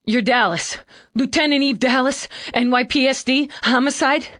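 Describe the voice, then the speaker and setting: A man's voice: very high-pitched, moderate pace, fairly bright tone, voice pushed up. A woman voicing a skinny young man in his late twenties, crying and breathless, his words tumbling over each other. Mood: shaky. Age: late 20s.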